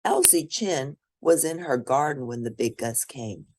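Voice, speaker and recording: A woman reading a children's picture book aloud expressively, as a storyteller for young listeners who points out the pictures. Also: rumbling low. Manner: animated, pauses to point out pictures.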